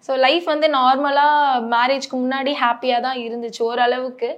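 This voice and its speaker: Female interviewer, high voice, conversational questioning with English words mixed in.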